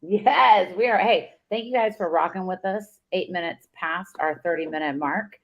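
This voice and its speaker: A woman co-hosting a casual sports podcast, upbeat and giving shout-outs. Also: on the low side, normal speed, creaky. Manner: upbeat, gives shout-outs and sign-offs. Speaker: podcast co-host. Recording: dull lo-fi sound.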